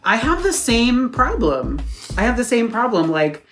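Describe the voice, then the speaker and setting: A man's voice: very high-pitched, big pitch swings, unhurried, a bit bright, faint music behind. A man whose first language is Spanish, chatting curiously and mocking himself during a shared meal. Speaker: guest friend. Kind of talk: casual mukbang chat. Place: indoors at a meal table.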